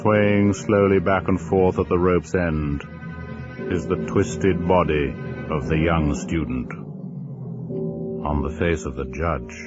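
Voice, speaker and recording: A man playing a grave, sinister narrator, reading a gothic horror tale with solemn, suspenseful pauses. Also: extremely low pitch, dull audio.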